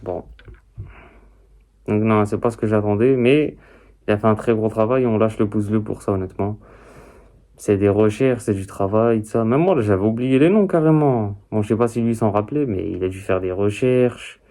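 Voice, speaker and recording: A man's voice: lowish voice, normal speed, dark tone. A young man giving casual, rambling reaction commentary in slangy, repetitive phrases. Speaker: reaction video host.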